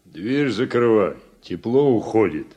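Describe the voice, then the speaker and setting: A man acting in a Soviet radio drama as a steady, wry older farm worker, folksy and gently chiding. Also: on the low side, a little slow, breathy edge. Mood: matter-of-fact. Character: wry, steady older farmhand, mentor to the young.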